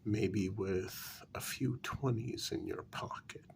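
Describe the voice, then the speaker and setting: A man playing an urbane, weary, menacing old vampire in a soft, half-whispered ASMR role-play, speaking with deliberate, formal politeness. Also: lowish voice, a little hiss or hum. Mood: calm menace. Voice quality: breathy, half-whispered, gravelly.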